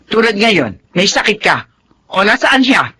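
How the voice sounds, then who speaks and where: A woman's voice: mid-range voice, very animated, unhurried, sharp tone, breathy edge, exaggerated voice. An actress playing an older Filipino mother and grandmother in a radio drama, nagging and emphatic out of concern for her grown son. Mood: scolding, exasperated.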